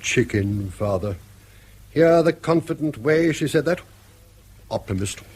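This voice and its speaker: A man's voice: mid-range voice, lively intonation, measured pace, noisy background, lightly acted. An actor playing a mischievous, sardonic elderly father in mid-century British radio drama, with a jaunty, offhand delivery. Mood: teasing. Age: older.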